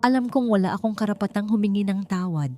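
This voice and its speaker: A woman voicing a calm, resolute grown child who defies a powerful father in a story. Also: mid-range voice, fast, pitched above the performer's own voice, lightly acted. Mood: pleading. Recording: music bed behind the voice.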